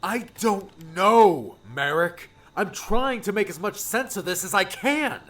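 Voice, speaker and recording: A man voicing a troubled, hurried young male adventurer in an audiobook, speaking earnestly and urgently, often hushed. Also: sits high, animated, faint music behind, pitched above the performer's own voice, full character voice. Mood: agitated. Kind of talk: audiobook character acting.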